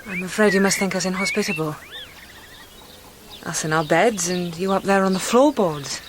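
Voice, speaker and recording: An actress playing a polite, earnest, gently curious vicar's wife in a radio drama, courteous and solicitous in manner. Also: female voice, lowish voice, normal speed, natural voice. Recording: birdsong and outdoor noise behind.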